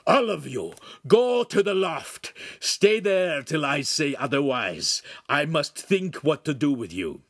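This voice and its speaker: A man voicing a big, hot-tempered, tyrannical master in an audiobook, domineering and commanding with drawn-out emphasis. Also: a little high, big pitch swings, husky, dry recording, clean studio sound, voice pushed up, exaggerated voice.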